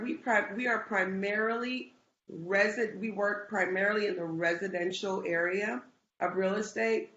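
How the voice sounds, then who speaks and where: A woman from the Bahamas, a realtor guest, answering interview questions in a measured, slightly hesitant way. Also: mid-range voice, a bit bright, reverberant, highs cut off.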